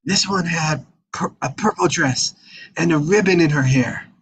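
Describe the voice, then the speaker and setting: An excitable young Sicilian bodyguard, acted by a man at a table read, pitched high for a male voice. He is brash and eager, with a husky, creaky edge and pleading, broken-up phrases. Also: unhurried, low-quality recording. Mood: smitten. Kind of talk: live table read of a film script.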